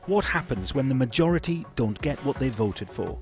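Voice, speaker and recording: A male reporter narrating a news documentary in a measured, grave way. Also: medium pitch, expressive, music in the background.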